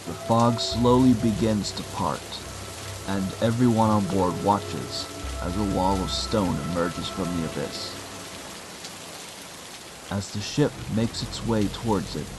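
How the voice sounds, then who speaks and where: A man with a low voice narrates an adventure drama in scripted storytelling style. Music and falling rain run clearly beneath his words. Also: poor audio.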